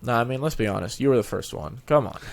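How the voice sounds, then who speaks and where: A man's voice: mid-range voice, quick pace, creaky, crowd noise. A man hosting an interview in a casual, conversational style. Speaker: show host, interviewer. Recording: music playing behind the voice. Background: music.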